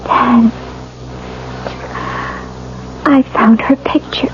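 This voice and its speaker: An actress playing a frightened young newlywed wife in a 1970s radio drama, fretful and hesitant. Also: female voice, fairly high pitch, steady tone, a little slow, background noise, natural voice. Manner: hesitant, fretful, talks to herself. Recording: muffled old radio, loud music behind.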